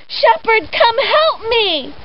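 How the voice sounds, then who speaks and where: A woman plays a scared young storybook heroine, pleading urgently. The voice leaps very high and draws out its cries, over a dull recording. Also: very animated, takes it slowly, full character voice. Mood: desperate pleading. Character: frightened young female traveller crying for help. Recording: dull lo-fi recording with background noise.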